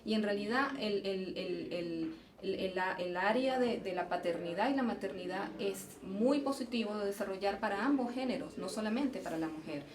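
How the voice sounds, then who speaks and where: A woman's voice: mid-range voice, moderate pace, hardly any pauses, a crowd in the background. A Venezuelan woman, a geological engineer, answering interview questions in a thoughtful way, often restarting and repeating words. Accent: Venezuelan Spanish. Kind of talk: conversational interview. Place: event venue, indoors. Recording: roomy, distant mic, faint noise.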